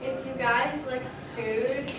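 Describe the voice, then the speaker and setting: Young female panelist, fairly high voice, casual talk, picked up far from the mic with heavy echo. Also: steady tone, slow pace, noisy background. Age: young adult. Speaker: convention panelist. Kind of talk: casual chat. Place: large indoor convention panel room. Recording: distant, very echoey, loud crowd.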